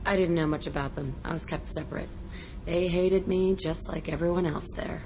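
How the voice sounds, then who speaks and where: An actress playing an embittered, tough young ex-prisoner in a video game, blunt, terse and profane. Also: female voice, lowish voice, steady tone, moderate pace, natural voice, poor audio. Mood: bitter, guarded. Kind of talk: video game dialogue acting.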